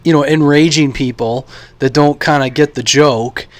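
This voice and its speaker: A man from the United States talking casually and unscripted as a radio hobby vlogger, halting and thinking aloud. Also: a little high, fairly even intonation, no echo. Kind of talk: casual unscripted vlog talk.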